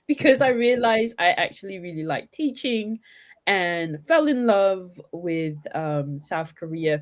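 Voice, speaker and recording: A woman speaks at a very slow, easy pace in a fairly low voice. She talks as a teacher over a video call, laughing often. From Congo, raised in London. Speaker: ESL teacher, guest. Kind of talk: live webinar chat.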